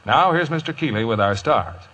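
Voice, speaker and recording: A man's voice: mid-range voice, lively intonation, fairly quick, pushed and bright. An American man reading a scripted 1950s radio commercial as the announcer, with a polished, emphatic sales delivery. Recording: vintage 1950s radio broadcast.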